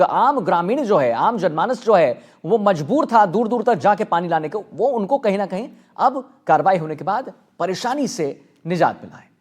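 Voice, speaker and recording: A man anchoring live TV news in an emphatic, repetitive way. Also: sits high.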